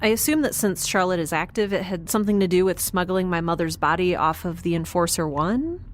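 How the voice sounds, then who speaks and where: A woman's voice: fairly low pitch, brisk, slight background noise, close to an everyday voice. A woman playing a wry, curious young spacefarer in a naturalistic science fiction audio drama, speaking casually and a bit hesitantly.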